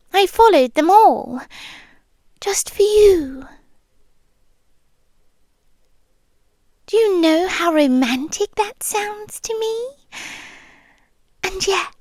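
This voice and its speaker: A British woman voicing a possessive, lovesick, centuries-old vampire girlfriend in an intimate ASMR roleplay, hushed and breathy, often slipping into whispers. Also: very high voice, no echo, full character voice. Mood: wounded, intense. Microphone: close microphone, dry.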